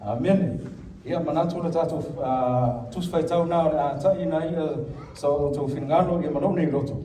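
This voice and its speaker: A man's voice: a little high, brisk, mellow, slight background noise. A man with a Samoan accent preaching a sermon in church, switching between Samoan and English and calling the congregation to answer amen. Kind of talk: bilingual sermon. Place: church hall. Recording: echoey church from a distance.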